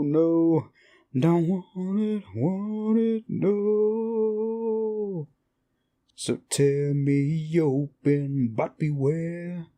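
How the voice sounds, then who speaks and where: Demon lullaby, sung by a man in role: mellow male singing, very slow, comfort ASMR. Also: a little high.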